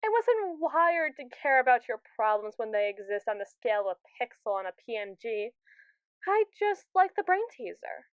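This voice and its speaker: A woman's voice: mid-range voice, clean tone, no room sound, muffled recording, lightly acted. A woman playing a haughty, impatient evil robot who scorns humans in an ASMR audio roleplay, condescending, sarcastic and theatrical.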